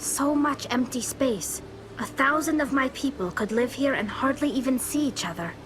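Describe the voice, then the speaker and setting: A woman's voice: high voice, moderate pace, noisy background, natural voice. A woman playing an earnest young alien engineer. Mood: wondering. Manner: earnest, formal and heartfelt. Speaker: crew member.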